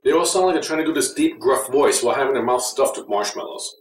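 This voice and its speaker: A man's voice: medium pitch, expressive, fast. A man giving a casual, conversational and mildly critical review as an audio drama reviewer. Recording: roomy echo, distant mic, faint noise.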